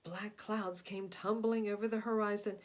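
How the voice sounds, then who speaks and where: A woman reading a picture book aloud to young children in expressive storytelling narration. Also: mid-range voice, moderate pace.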